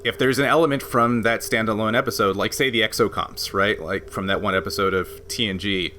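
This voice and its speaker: A man's voice: lowish voice, steady tone, a little fast, pushed and bright, slight background noise. A man hosting a tabletop game podcast, explaining a rules system at length in a casual way, with frequent laughs. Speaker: game master and podcast host.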